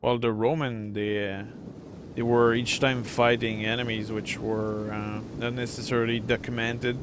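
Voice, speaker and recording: A man's voice: mid-range voice, level tone, moderate pace, noisy background. A middle-aged francophone man with a French Canadian accent, rambling casually with many fillers while commenting on a strategy game. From Quebec, Canada. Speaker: gameplay streamer.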